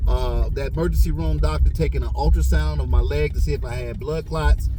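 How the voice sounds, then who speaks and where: A man's voice: a little high, fairly even intonation, fairly quick. A man in his forties hosting his own vlog from inside a car, talking in a blunt, preachy way and repeating his key points. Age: 40s. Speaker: veteran benefits channel host. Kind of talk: casual vlog talk while driving. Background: road and car noise.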